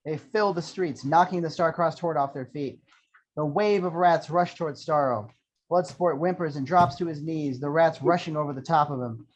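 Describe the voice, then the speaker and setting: A man's voice: a little high, normal speed. A man reading screenplay action lines as scripted narration at an amateur table read. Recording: dull lo-fi sound.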